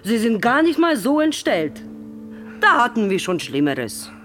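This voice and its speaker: Slow, lilting, blunt reassuring woman. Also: fairly high pitch, big pitch swings, a bit bright, soft background music. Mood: frank, reassuring. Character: smiling, blunt woman reassuring a disfigured patient. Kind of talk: audio drama dialogue.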